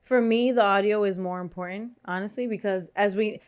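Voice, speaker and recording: A woman's voice: mid-range voice, steady tone, fairly quick, smooth. Bantering woman co-hosting a casual podcast. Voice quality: softer voice than a loud speaker's. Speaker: podcast co-host. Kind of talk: casual chat.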